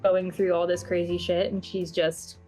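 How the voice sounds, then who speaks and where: A woman's voice: lowish voice, level tone, moderate pace, a little mellow, low-quality recording. A woman in a casual group discussion, reflective and feeling her way toward the words as she explains. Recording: background music under the voice.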